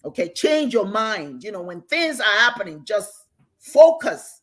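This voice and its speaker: A woman's voice: medium pitch, sing-song, a little slow. Earnest older woman teaching. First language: Igbo. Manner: earnest, repeats key phrases for emphasis. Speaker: host and spiritual teacher. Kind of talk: livestream teaching on meditation.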